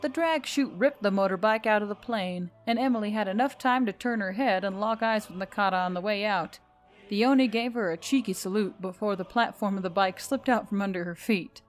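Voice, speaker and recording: Woman narrating an adventure story. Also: mid-range voice. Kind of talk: dramatic scripted fiction narration.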